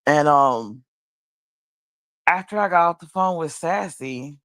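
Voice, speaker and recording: Slow, blunt woman musing late at night. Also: sits low, animated, a bit bright, dry recording, clean studio sound. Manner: blunt, profane, slow and musing with frequent restarts. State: high. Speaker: livestream host. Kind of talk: late-night casual commentary. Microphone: streaming mic.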